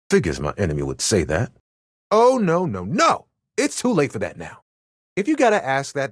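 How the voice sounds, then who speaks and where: An actor voicing a touchy, sassy, dramatic helper character in a video game, with a theatrical, easily offended delivery. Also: male voice, fairly high pitch, no room sound, clean studio sound.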